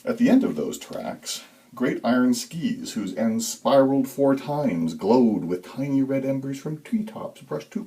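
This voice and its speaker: A man giving a dramatic, deliberate reading of a scripted story as narrator, with suspenseful, expressive emphasis. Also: mid-range voice, low-quality recording. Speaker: author reading own story.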